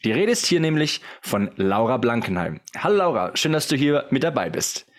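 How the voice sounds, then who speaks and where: A man's voice: medium pitch, sing-song, brisk. A man hosting a podcast, reading an upbeat intro and asking questions in a friendly, casual manner, laughing along with his guest. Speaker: podcast host.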